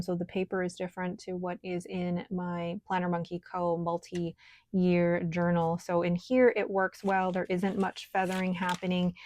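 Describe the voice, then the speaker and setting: A woman with a scratchy, hoarse voice, chatting casually as a stationery vlogger while she writes and paints. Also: lowish voice. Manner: chatty, relaxed, thinking aloud while working. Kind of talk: casual show-and-tell while writing and painting.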